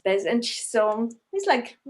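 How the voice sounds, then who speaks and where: A woman's voice: on the high side, big pitch swings, slow pace, a little hiss or hum. A middle-aged woman with an Austrian accent introducing herself informally on a video call, hesitating as she searches for English words. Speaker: Pilates trainer and association vice president. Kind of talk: informal self-introduction at an online meeting.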